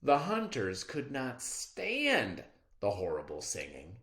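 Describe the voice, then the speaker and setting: A reader narrating a children's story aloud in a theatrical, expressive style. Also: medium pitch, takes it slowly, roomy sound.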